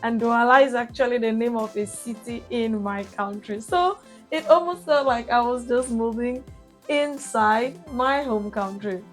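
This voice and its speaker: A woman's voice: on the high side, measured pace, faint music behind. A young woman with a Cameroonian accent, recapping her travels in a chatty vlog with fillers and restarts. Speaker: travel vlogger.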